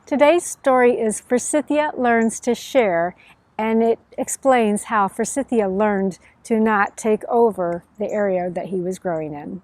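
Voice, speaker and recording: A woman's voice: medium pitch, unhurried, a little mellow, no echo. A middle-aged woman narrating as a storyteller, reading aloud to children outdoors. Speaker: host, storyteller and author. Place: outdoors under a tree by a field.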